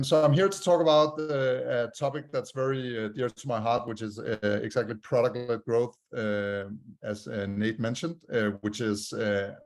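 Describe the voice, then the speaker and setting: A man with a Danish accent presents a talk over a video call and fills it with many "uh" pauses. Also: fairly low pitch. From Denmark. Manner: many "uh" fillers, measured delivery. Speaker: guest speaker.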